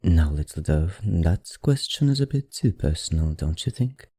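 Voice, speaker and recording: A man playing a courteous, teasing, ancient vampire librarian in an ASMR roleplay, speaking genteelly with a put-on accent in a low, husky voice. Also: lively intonation, normal speed, no echo, clean studio sound, heavily acted. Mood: gracious, mysterious. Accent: put-on, not his own. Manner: genteel, old-fashioned, softly teasing. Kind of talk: ASMR roleplay, addressing the listener.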